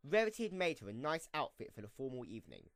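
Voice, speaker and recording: Animated male narrator, dry close recording. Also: medium pitch, fast, no room sound. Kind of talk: scripted storybook narration for an amateur radio play.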